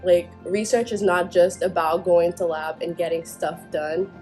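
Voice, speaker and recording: A woman's voice: fairly low pitch, fairly even intonation, a little slow, a little mellow, soft background music. A young woman student from Haiti, talking earnestly and reflectively about her research. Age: young adult.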